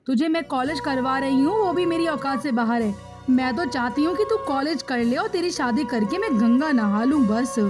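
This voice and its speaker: A woman acts out a scolding village mother from an animated moral tale. Her voice is high and fretful, set over clearly audible background music. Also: normal speed, close to an everyday voice. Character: scolding, anxious village mother fretting over daughter's marriage. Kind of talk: animated moral story voice acting.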